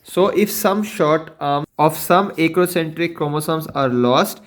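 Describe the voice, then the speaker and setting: Man with a higher voice, explaining. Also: a little slow. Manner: didactic, asks and answers his own questions. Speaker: lecturer. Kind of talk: explanatory science lesson.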